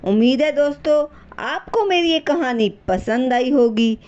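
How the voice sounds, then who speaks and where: A woman narrating a scripted story as a host, expressive and speaking directly to her listeners. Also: high-pitched, unhurried, dull audio.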